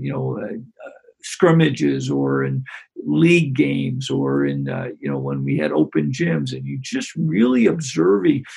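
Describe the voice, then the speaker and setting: Middle-aged coach guy, really gravelly husky voice, pitch jumps all over, lots of restarts. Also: a little mellow. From Western New York. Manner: rambling, halting, many restarts and fillers. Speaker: basketball coach. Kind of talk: relaxed interview conversation.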